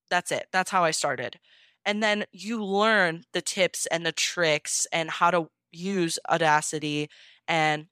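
A woman's voice: on the low side, a bit bright, clean tone, no room sound, studio quality. A woman talking casually and enthusiastically as an interview guest, in an informal, encouraging way. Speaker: podfic narrator being interviewed.

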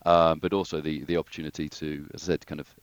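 A man's voice: extremely low pitch, flat delivery, fast, clear, resonant tone, dry recording, dull audio. A man, a guest engineer, talking through his career in a hesitant, thoughtful way with many restarts. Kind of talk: casual interview conversation.

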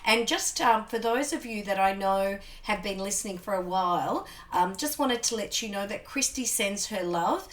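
A woman's voice: medium pitch, normal speed, hardly any pauses. A woman, hosting a podcast in a chatty way with many ums and restarts. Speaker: podcast host. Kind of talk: casual conversational interview.

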